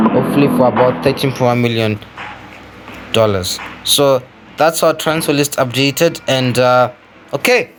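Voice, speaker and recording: A man hosting a gaming video, giving casual gameplay commentary as he thinks aloud, repeating phrases and addressing viewers. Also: on the high side. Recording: clearly noisy home recording.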